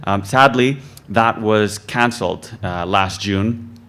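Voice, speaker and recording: A young man born in the UK gives an earnest self-introduction at a mic, relaxed and loose, with plenty of ums and uhs. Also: fairly low pitch, slow pace. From born in the UK, raised in many countries. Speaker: photographer and filmmaker. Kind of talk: short self-introduction speech. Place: club event hall, talking at a mic.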